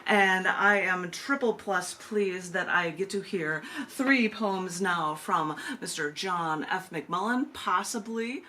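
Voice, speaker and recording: A woman hosting a virtual open mic, reading her poems and introducing them casually, with deliberate, emphatic phrasing and drawn-out pauses. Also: on the low side, sharp tone, slight background noise. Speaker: open mic host and poet. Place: virtual open mic over video call.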